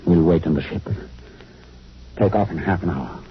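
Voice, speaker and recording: Man playing a deep, grave spaceship captain. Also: normal speed, close to an everyday voice. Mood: grave, tense. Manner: grave, earnest, authoritative. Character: male spaceship captain, grave, humane, commanding. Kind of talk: 1950s radio drama acting. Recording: muffled old radio recording, faint hiss.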